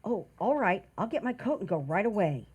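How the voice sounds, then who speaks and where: Woman as a mellow, sing-song housemaid. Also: medium pitch, big pitch swings, fairly quick, husky, muffled recording. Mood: meek, dreamy. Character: timid, romantic housemaid, a deferential woman. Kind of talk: old-time radio sitcom acting in community theater.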